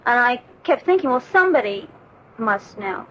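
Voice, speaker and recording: A woman's voice: fairly high pitch, expressive, normal speed. A woman, an airline stewardess, giving a conversational testimonial in a 1970s radio advertisement. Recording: dull radio recording, faint noise.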